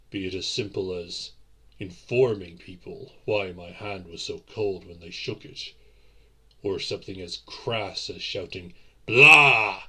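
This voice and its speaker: A man playing a courtly vampire boyfriend in an audio roleplay, with formal, theatrical phrasing and a husky edge to the voice. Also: fairly low pitch, pushed and bright, full character voice.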